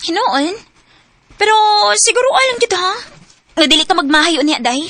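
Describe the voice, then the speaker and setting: An actress playing an excitable young female friend, exclaiming and teasing in a radio drama. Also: extremely high pitch, normal speed. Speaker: friend of Ana.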